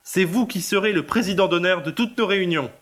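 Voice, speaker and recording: A man playing a pompous, flattering crusading lawyer, speaking in a formal, oratorical audio drama style. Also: high voice, a little fast. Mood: rousing, grandiloquent. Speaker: actor in an amateur audio drama. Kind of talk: theatrical French audio drama acting.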